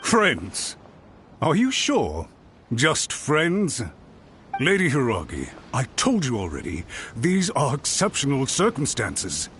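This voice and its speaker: An actor playing an anxious, overbearing clan retainer in an anime fantasy game, speaking in an agitated, insistent, suspicious way. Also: male voice, mid-range voice, wildly swooping pitch, takes it slowly, fairly bright tone, a little hiss or hum, exaggerated voice.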